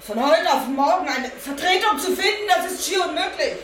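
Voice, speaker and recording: A woman acting in a radio drama, playing a proud, weary widow with a sharp tongue and pointed delivery. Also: high-pitched, animated, normal speed, a little hiss or hum. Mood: defensive.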